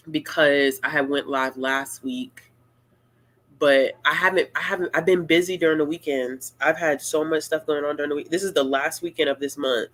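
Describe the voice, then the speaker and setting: A woman hosting a casual live-stream Q&A, talking in a relaxed, rambling and playful way. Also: sits low. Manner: laid-back, rambling, playful. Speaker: booktuber host of a live Q&A.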